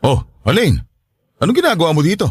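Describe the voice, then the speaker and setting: A man's voice: on the high side, huge pitch swings, fast talker, slightly rough, dry recording, clean studio sound, full character voice. A man with a Filipino accent playing a cold, irritable middle-aged father, curt and dismissive, in a radio drama. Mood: surprised, suspicious.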